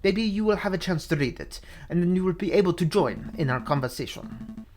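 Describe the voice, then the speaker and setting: This is a man playing an exiled fox prince from a video game, melancholy and courteous. He speaks formally and earnestly in a Spanish accent, with a lively rise and fall. Faint music plays behind him. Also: high-pitched, fairly quick. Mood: courteous, reflective.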